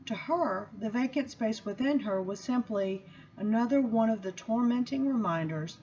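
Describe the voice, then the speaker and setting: A woman reads from a book aloud in an expressive style, with measured, deliberate phrasing and emphatic pauses. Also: a little high, highs cut off. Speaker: seminary student reading aloud. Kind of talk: expressive book reading with spoken introduction.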